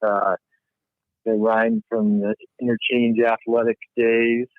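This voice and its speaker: A man's voice: medium pitch, level tone, takes it slowly, dry recording. A man calling in over the telephone, talking conversationally as a guest sharing motivational thoughts. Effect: telephone line. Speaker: caller, financial planner. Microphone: phone call into a livestream. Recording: narrow, tinny phone line.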